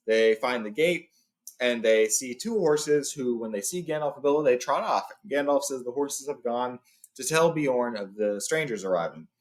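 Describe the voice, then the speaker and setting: A man hosting a read-along, telling and reading a story in an informal, expressive way. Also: medium pitch. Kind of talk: storybook reading with casual retelling.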